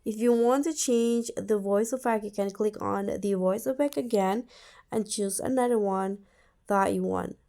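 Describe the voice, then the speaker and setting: A woman's voice: medium pitch, moderate pace, mellow. A woman hosting a tutorial, narrating an app walkthrough step by step. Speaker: tutorial host.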